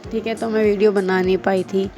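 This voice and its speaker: A woman's voice: medium pitch, flat delivery, fairly quick, over music. A woman in her twenties chatting casually to her viewers in a self-deprecating way. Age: 20s. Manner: chatty, mixing English phrases, self-deprecating. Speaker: vlogger and school teacher. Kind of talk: casual Hinglish chat to camera.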